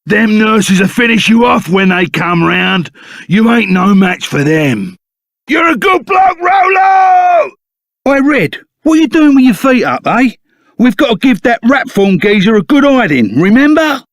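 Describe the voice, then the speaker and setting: An actor playing a rough, loyal, excitable henchman in a video game, with a gravelly voice, a Cockney accent and blunt, chummy, slangy talk. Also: extremely high pitch, measured pace, no echo, clean studio sound, exaggerated voice. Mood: gruff, indignant, then excited, amazed. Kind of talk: video game character voice acting.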